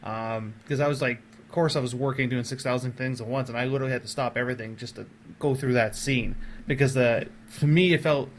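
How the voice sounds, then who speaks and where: A man's voice: medium pitch, fairly even intonation, fairly quick, slight background noise. A man hosting a casual podcast, talking in an effusive, wordy way as he interviews his guests.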